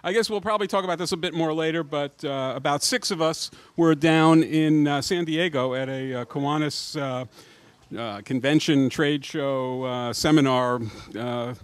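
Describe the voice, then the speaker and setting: Man, halting and filler-heavy, slightly high voice, dry close mic. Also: smooth, dry recording. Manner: halting, laughing, many fillers. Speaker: club member.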